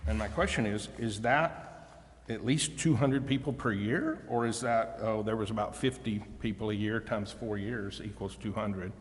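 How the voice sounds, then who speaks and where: A man's voice: on the low side, moderate pace. A man speaking informally as a councilman in a meeting, thinking aloud as he questions and comments. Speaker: city councilman. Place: large indoor council chamber. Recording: reverberant hall, faint background noise.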